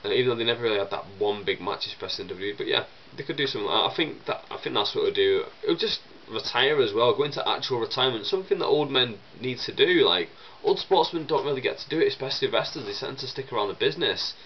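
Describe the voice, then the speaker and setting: A man's voice: medium pitch, lively intonation, quick pace, hardly any pauses, muffled recording, a little hiss or hum. A young man with a chesty cold, rambling casually as he answers viewer questions in a vlog. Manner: chatty and rambling, many fillers and restarts. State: chesty with a cold, coughing and sniffling.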